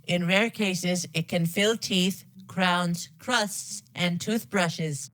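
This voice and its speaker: A woman's voice: lowish voice, steady tone, extremely slow, fairly bright tone, no room sound, rough recording. A woman voicing a polite female computer assistant with stilted, formal announcements and a synthetic text-to-speech sound, as in a game. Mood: formal, detached. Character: polite female computer assistant giving garbled test instructions.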